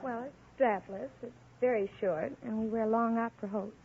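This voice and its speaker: Female quiz contestant, 1940s radio, slightly high pitch, short answers, narrow lo-fi broadcast sound. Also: moderate pace, breathy edge, some chatter behind. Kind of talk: brief unscripted answers on a vintage radio show. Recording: thin, muffled old radio recording.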